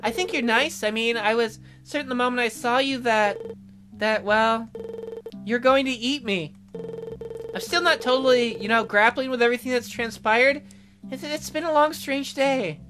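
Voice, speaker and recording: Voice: mid-range voice, over music, pitched above the performer's own voice, close to an everyday voice. A performer voicing an awkward, hesitant young woman in a visual novel, speaking tentatively. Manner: halting, tentative. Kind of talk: visual novel character reading.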